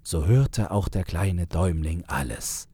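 A man with a husky voice narrating a fairy tale in a measured, unhurried way. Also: sits low, expressive, mellow, no room sound. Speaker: storyteller, narrator.

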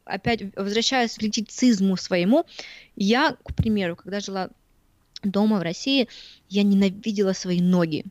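A woman from Siberia in her thirties chats as a podcast guest, lively and quick to laugh. She acts out other people's remarks as she tells stories. The recording is dry and dull. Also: mid-range voice, no echo. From Siberia, Russia. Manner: animated, laughs often, acts out quoted voices. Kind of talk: casual interview chat.

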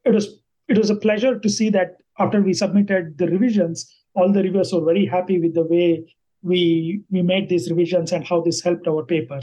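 A man's voice: high voice, warm tone, low-quality recording. A man from India, a native Kannada speaker, answering interview questions thoughtfully with many fillers and restarts. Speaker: guest scientist.

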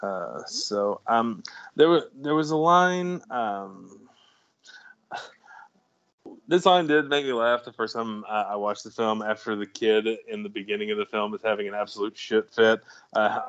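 A man's voice: lowish voice, fairly bright tone, smooth, highs cut off. A man co-hosting a podcast, chatting casually about a film, rambling and restarting his sentences and chuckling at his own jokes. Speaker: podcast co-host.

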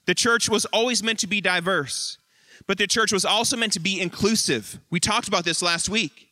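A man with a high, very bright and forceful voice delivers a sermon in short, earnest, emphatic phrases, pausing between lines. The sound is dry and close, with little room around it. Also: no echo. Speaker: lead pastor. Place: church sanctuary.

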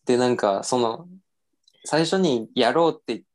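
A man's voice: mid-range voice, a little slow, rough recording. A man in his twenties telling stories as a podcast guest, rambling and correcting himself in casual chat. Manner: rambling storytelling with self-corrections and laughs.

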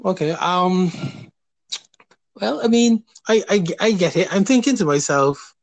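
A man's voice: high voice, lively intonation, unhurried, no room sound. Hesitant man hosting a chat. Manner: hesitant, many restarts and fillers. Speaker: podcast host. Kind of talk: casual interview chat.

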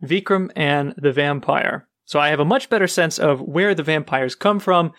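A man's voice: fairly high pitch, moderate pace, fairly bright tone, no echo, clean studio sound. A man co-hosting a book podcast, explaining characters and history in an informative way. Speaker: podcast co-host. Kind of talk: casual book chat.

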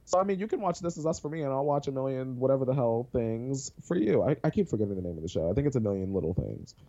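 Lively, slangy podcast co-host, lo-fi sound. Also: mid-range voice, quick pace. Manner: animated, slangy, swears and laughs often. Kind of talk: casual chat.

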